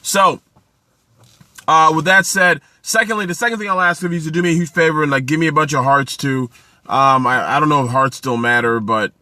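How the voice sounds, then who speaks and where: A man's voice: fairly high pitch, pushed and bright, dry recording. A man from Canada in his thirties, hosting a casual live stream outdoors, greeting viewers by name and giving upbeat business advice. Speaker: live-stream host, accountant and author. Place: outdoors in the sun.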